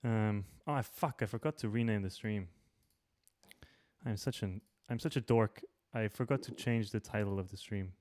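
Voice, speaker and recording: A man's voice: lowish voice, normal speed, a little mellow. A man hosting a casual live-stream interview, chatty and informal with offhand swearing. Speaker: host.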